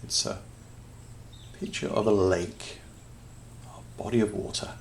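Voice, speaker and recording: A man with a low, heavily creaky voice guides a meditation very slowly. He speaks calmly and soothingly in short phrases with long pauses, over faint nature ambience and birdsong. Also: slight background noise. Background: natural sounds, birds.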